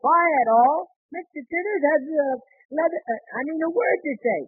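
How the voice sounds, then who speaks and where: A man's voice: extremely high pitch, animated, normal speed, dry recording. An American man playing an eager, good-natured, bumbling young husband who stammers and exclaims, in the style of 1940s radio comedy. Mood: excited. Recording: muffled, band-limited vintage radio recording.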